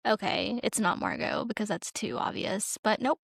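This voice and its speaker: A woman's voice: mid-range voice, expressive, normal speed, gravelly, no room sound, studio quality. A woman co-hosting a podcast, chatting casually and informally about TV, with plenty of "like" in her speech. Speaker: podcast co-host. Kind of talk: casual TV-review chat.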